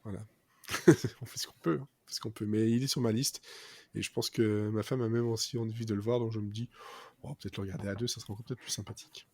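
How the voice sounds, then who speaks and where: A man's voice: lowish voice, fairly even intonation, quick pace, a little mellow, no room sound. A man co-hosting a casual culture podcast, rambling and hesitant, full of fillers. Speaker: podcast co-host.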